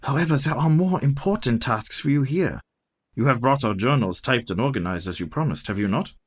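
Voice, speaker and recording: Casting: older vampire-hunting professor, radio drama; solemn, formal, deliberate, mid-pitched authority. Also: male voice, lively intonation, breathy edge. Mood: persuasive, courteous. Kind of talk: radio play acting.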